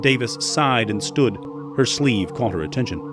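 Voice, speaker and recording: A man's voice: medium pitch, lively intonation, normal speed, music in the background. A man reading scripted third-person narration for an audio drama.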